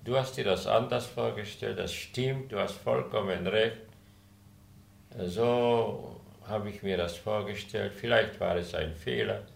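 An older man with a Czech accent, a native Czech speaker, speaking German haltingly in an interview. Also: on the low side, creaky. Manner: halting, searching for words, frequent hesitations. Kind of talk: conversational interview. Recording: roomy room sound.

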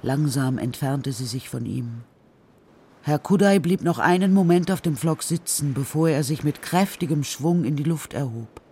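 A man narrating a scripted children's radio drama as storyteller, with a husky voice. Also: fairly high pitch, normal speed.

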